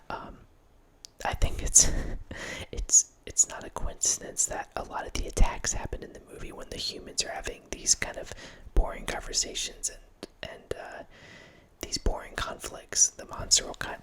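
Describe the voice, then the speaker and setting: A man in his thirties, an ASMR host, whispering in a chatty, rambling way close to a studio mic in a room at home. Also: bright, forceful tone. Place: home room with bookshelves, indoors. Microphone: large studio mic close up, wearing headphones.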